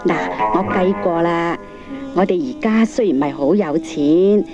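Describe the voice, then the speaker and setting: A woman's voice: on the low side, normal speed, close to an everyday voice. A woman with a Hong Kong Cantonese accent playing a shoemaker's kindly, practical older wife in a children's radio drama. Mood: practical, content. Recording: muffled old cassette, faint music.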